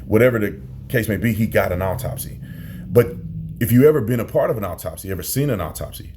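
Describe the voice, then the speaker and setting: A man's voice: lowish voice, a little mellow. An American man giving opinion commentary to viewers, emphatic, repeating and stretching key phrases for weight. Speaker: commentator, former police officer.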